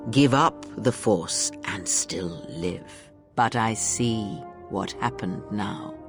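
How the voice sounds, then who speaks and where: An older woman playing a bitter, contemptuous old Sith master in a video game, speaking in a theatrical, deliberate, preaching way. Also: rumbling low, heavily acted. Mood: quiet, bitter. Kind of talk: dramatic video game monologue. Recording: music behind. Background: music.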